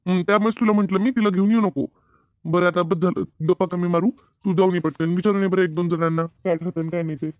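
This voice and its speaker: A man's voice: sits high, steady tone, fast, no echo, lightly acted. A man playing a bossy, practical farmer husband in a comedy cartoon, insistent and colloquial, with a rural Maharashtrian accent. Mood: impatient. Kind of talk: animated comedy cartoon dialogue. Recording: narrow phone-like band, dry.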